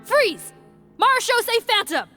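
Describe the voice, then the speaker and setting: A woman's voice: very high voice, big pitch swings, measured pace, bright, forceful tone, heavily acted. A woman playing a bold young officer in a video game, assertive and commanding. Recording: music under the voice. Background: game music.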